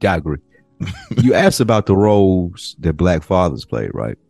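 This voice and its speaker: A man co-hosting a podcast, chatting loosely in slang and working through his points with pauses and restarts. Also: low-pitched, very animated, darkish tone, no echo, soft background music. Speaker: podcast co-host. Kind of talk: casual chat.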